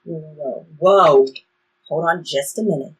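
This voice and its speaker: A woman's voice: low-pitched, unhurried, husky, exaggerated voice, poor audio. A woman playing a cautious, wry time-traveling guide who instructs, in a stage play reading. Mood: cautioning.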